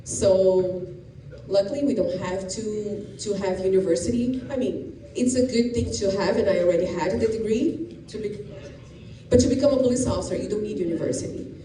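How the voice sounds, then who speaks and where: A woman's voice: medium pitch, moderate pace. A middle-aged woman from Brazil, a police officer, explaining things plainly to students with many restarts. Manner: explanatory, frequent restarts and fillers. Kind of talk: informal talk to students. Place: school classroom or gym. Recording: echoey room, distant and noisy.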